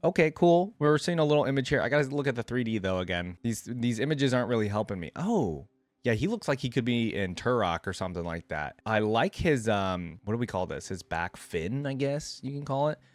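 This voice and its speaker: A man's voice: mid-range voice, animated, brisk, dry recording. A man giving casual, informal reaction commentary as the host of a gaming channel, thinking aloud as he goes.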